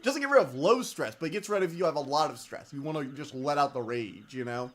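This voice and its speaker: A man streaming a video game, chatting excitably and reading the game text aloud, laughing often, with a slightly whiny voice. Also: on the high side, quick pace. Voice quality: self-described whiny voice. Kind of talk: casual let's-play commentary, reading game text aloud. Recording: game music behind.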